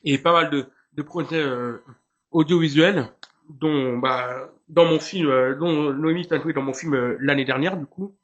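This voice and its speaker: Man with a slightly high voice, measured. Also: fairly bright tone, lo-fi sound. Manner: measured, with fillers. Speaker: guest, actor and director. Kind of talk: casual interview chat.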